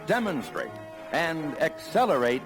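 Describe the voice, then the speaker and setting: Lively 1960s American male announcer. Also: fairly high pitch, a little slow, loud music behind the voice. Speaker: narrator of a dealer sales training film. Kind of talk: 1960s industrial film scripted narration.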